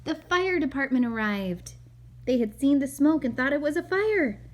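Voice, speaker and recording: A woman's voice: high voice, expressive, moderate pace. A woman reading a picture book aloud to a child as a mother, expressively, with chatty asides and questions.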